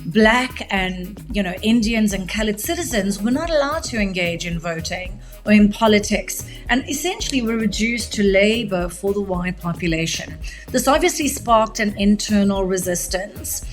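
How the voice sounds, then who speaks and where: Measured woman telling her story. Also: mid-range voice, animated, faint music behind. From South Africa. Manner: measured, articulate, explanatory. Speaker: guest, media tech executive. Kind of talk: podcast interview, long storytelling answer.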